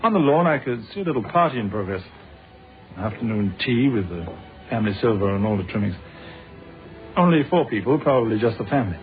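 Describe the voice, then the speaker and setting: An actor playing a polite, probing British police inspector in a radio drama, with a measured, formal manner. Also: male voice, mid-range voice, lively intonation, lightly acted. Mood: reflective. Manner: measured, courteous, formal questioning. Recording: thin phone-like radio sound, faint music.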